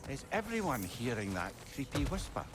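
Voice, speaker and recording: A wry, very animated companion. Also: male voice, medium pitch, normal speed, music in the background. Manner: sardonic, colourful. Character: wry, grumbling, quick-witted male travelling companion. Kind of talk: video game drama acting.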